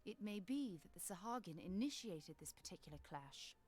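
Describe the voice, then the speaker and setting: A woman's voice: mid-range voice, animated, darkish tone, close to an everyday voice. Woman acting a composed, formal scholar. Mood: grave, reproachful. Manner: formal, measured, archaic phrasing. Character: composed, sharp-witted woman scholar, gravely principled. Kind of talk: video game voice acting.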